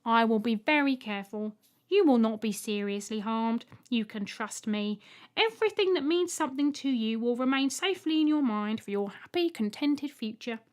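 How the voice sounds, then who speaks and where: A woman's voice: fairly high pitch, lively intonation, moderate pace, lightly acted. A small male robot egg from a storybook , acted out by a woman, cheerful and oblivious. He explains things in a chirpy, matter-of-fact way and is eager to oblige. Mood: friendly, reassuring.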